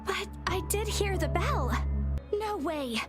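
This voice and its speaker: Very high-pitched timid little sister. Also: female voice, animated, takes it slowly, heavily acted. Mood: frightened insistence. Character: timid, affectionate young girl, a little sister. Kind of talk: video game voice acting. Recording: loud music behind the voice. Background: loud game music.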